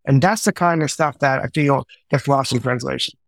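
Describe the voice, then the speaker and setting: A man's voice: medium pitch, normal speed, creaky, dry recording, clean studio sound. A man speaking as a guest in a casual interview, emphatic and joking.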